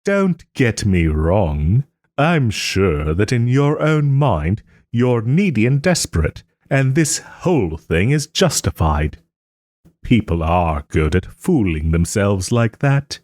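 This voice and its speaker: A man playing a proud, sarcastic nobleman in a scripted roleplay audio drama, with haughty, theatrical phrasing and pointed pauses. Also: fairly low pitch, big pitch swings, a little mellow, no room sound, studio quality. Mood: sardonic, aggrieved. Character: wry, wounded young nobleman lord, sarcastic and proud.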